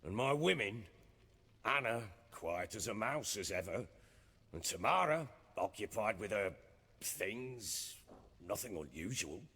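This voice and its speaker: An actor playing a gruff, proud middle-aged warlord and father in a video game, speaking in folksy, archaic, blustering phrases. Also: lowish voice, sing-song, measured pace, pushed and bright, big-room sound, full character voice. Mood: dismissive. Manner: blustering, folksy archaic speech, swinging emphasis. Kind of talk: dramatic video game acting.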